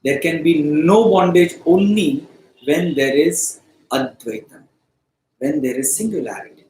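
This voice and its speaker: A man teaching philosophy, explaining deliberately in short, emphatic phrases with pauses. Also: on the high side, darkish tone, slight background noise. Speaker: spiritual study teacher. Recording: echoey, roomy sound.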